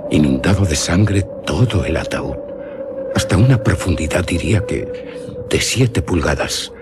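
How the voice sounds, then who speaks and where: A grim, formal official in a gothic radio play, with a Spain accent. His deep voice is slow, with wide swings in pitch. Loud music plays behind. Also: animated. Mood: solemn, grim. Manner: formal, grave. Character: solemn official inspecting a vampire's corpse. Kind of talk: radio drama acting.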